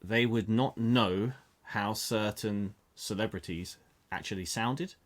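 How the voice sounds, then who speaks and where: A man's voice: on the low side, slow. A man hosting an interview, asking loose, rambling questions full of restarts. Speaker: podcast host, English coach. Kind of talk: casual interview conversation.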